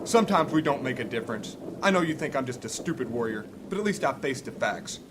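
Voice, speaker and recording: An actor playing a bitter, hot-tempered warrior in a fantasy audio drama, scornful and argumentative. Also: male voice, mid-range voice, lively intonation, fast talker, noisy background, close to an everyday voice. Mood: angry, bitter.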